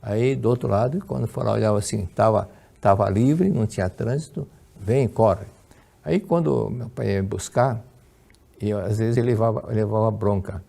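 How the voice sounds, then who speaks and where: A man's voice: fairly low pitch, normal speed, gravelly, mellow. An older man with a Brazilian Portuguese accent, giving a religious lesson, telling stories and answering questions, often repeating and restarting words. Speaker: religious lecturer. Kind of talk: religious teaching with Q&A.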